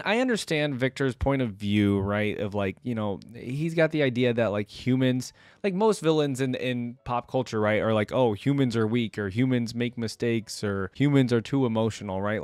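A man's voice: mid-range voice, no echo. A young man giving casual, rambling reaction commentary into a close desk microphone as a video host. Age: young adult. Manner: rambling, conversational. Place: indoor gaming setup.

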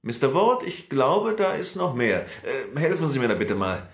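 A man's voice: medium pitch, sing-song, normal speed, roomy sound. An actor playing a down-to-earth workman in a radio drama, casual and unimpressed by old things. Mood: helpful, curious. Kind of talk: German radio drama acting.

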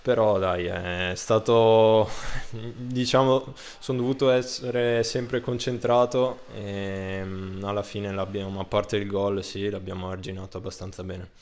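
Young male footballer, low monotone, hesitant post-match answers, handheld mic. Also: unhurried. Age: 20s. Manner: hesitant, many fillers and restarts. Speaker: football player. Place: indoor room under a ribbed ceiling. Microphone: handheld microphone held up by interviewer.

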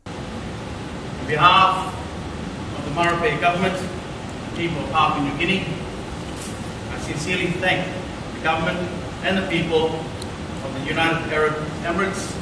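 A man giving a formal prepared speech as a government official, with slow, measured delivery. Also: a little high, fairly even intonation. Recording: echoey hall from far off, noisy.

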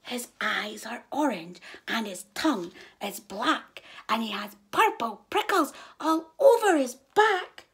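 Brief: a woman voicing a cheeky little storybook mouse, squeaky high and bouncy. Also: slightly rough, exaggerated voice. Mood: excited. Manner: bright, excited and quick-witted. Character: small clever boastful male mouse.

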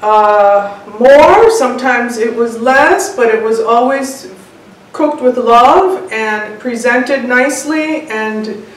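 A woman in her sixties telling her personal story in an interview, measured and reflective, with pauses. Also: fairly high pitch. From United States, born in Cuba. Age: 60s. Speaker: interviewed home cook and author. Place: indoors, bright home room with large windows. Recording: very echoey room, distant mic, faint noise.

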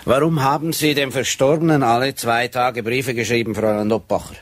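An actor playing a dry, probing police sergeant who questions people tersely in a radio crime drama. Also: male voice, mid-range voice, fairly even intonation, fairly quick, lightly acted.

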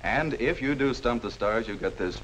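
A man's voice: mid-range voice, animated, moderate pace, fairly bright tone, a little hiss or hum. A man in his thirties hosting a live TV game show, with breezy joking patter. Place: TV studio stage with audience.

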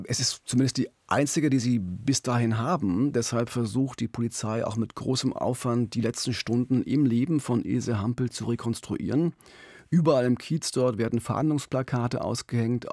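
A man's voice: medium pitch, darkish tone, no echo. A middle-aged man telling a true-crime story as a podcast host, close on a studio mic. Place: small radio studio. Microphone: close studio mic on boom arm.

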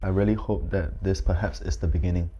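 Deep-voiced man answering interview questions. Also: steady tone, warm tone. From Malaysia. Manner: measured, thoughtful, with frequent ums and uhs. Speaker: photographer. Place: indoors, plain-walled room.

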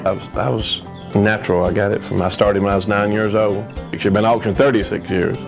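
A Southern American man in his forties talks in an interview in a low, creaky voice. His intonation stays flat, and guitar music plays behind him. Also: fast. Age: 40s. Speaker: auctioneer. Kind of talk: documentary interview.

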